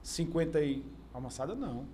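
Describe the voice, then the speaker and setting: A Brazilian man with a fairly high voice hosts a casual podcast conversation. He feels his way through recaps and what-if questions in a halting manner. Light noise sits behind him. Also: expressive, warm tone. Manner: halting, recaps and tests hypothetical examples. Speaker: podcast host. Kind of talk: informal conversational interviewing.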